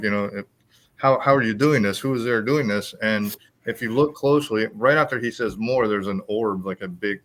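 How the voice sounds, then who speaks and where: Low-voiced man telling rambling stories. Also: fairly bright tone. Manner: rambling, filler-heavy storytelling. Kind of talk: casual chat, storytelling.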